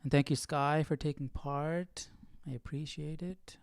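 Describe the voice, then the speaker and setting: A man's voice: a little high, fairly even intonation, unhurried, vocal fry, clear, resonant tone, dry recording. Casual man hosting a livestream, dry close sound. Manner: thinks out loud, informal. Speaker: host of a live Bible study stream. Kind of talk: casual livestream chat with reading and commentary.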